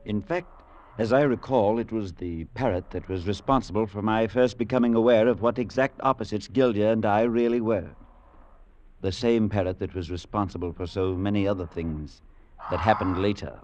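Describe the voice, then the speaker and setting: Measured priest narrator, played by a man, lowish voice, dull old radio sound with faint music behind. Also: lightly acted. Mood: reflective. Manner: measured, reflective, gently amused. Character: thoughtful male priest narrator. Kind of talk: 1940s radio acting.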